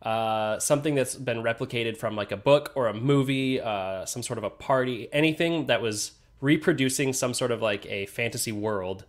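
Brief: young male co-host, stuffy nose, relaxed and joking, swears casually. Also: mid-range voice, moderate pace, few pauses. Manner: laid-back, swears, laughs often. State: congested from a sinus infection. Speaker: podcast co-host. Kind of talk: casual chat over beers.

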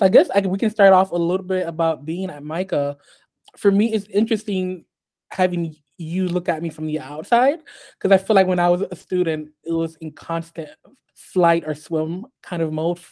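A man born in Haiti and raised in the US, talking as a guest on a podcast interview in a measured way, with many ums and restarts. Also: on the high side, dark tone. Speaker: guest artist.

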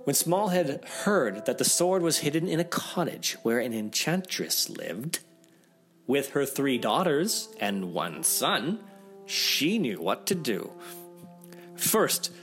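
A man's voice: fairly high pitch, a bit bright. A man reading a fairy tale aloud as a storyteller, with dramatic, theatrical expression.

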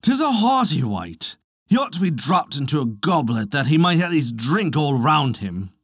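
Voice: mid-range voice, very animated, normal speed, husky, no room sound, studio quality. A narrator as a stately, amused legendary king. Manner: stately, archaic. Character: hearty, amused male king of old legend.

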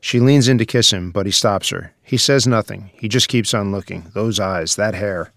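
A man's voice: on the low side, normal speed, vocal fry, fairly bright tone, no echo, clean studio sound. A man reading stage directions as scripted narration for a screenplay.

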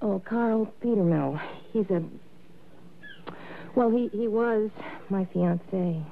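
An actress playing an earnest, evasive young niece in a 1960s radio drama, hesitant and pleading. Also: female voice, medium pitch, slow, creaky. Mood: earnest, worried. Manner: hesitant, pleading, stammers when pressed. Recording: noisy, tinny vintage radio recording.

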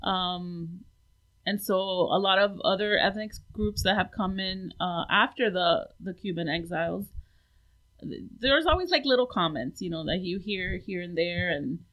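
A woman's voice: fairly low pitch, animated, measured pace, lo-fi sound. A woman in her forties, born in the United States, talking reflectively as an interview guest with many ums. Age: 40s. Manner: reflective, with frequent fillers. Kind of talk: casual interview chat.

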